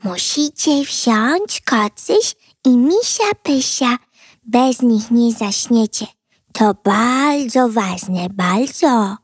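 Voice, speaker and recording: A woman voicing a playful little toddler girl with a childish lisp in a storybook audiobook, speaking slowly in a sing-song way. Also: a little high, expressive, husky, no echo, clean studio sound, exaggerated voice. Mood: earnest. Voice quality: childish lisp, mispronounced words. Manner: slow, sing-song, bouncy.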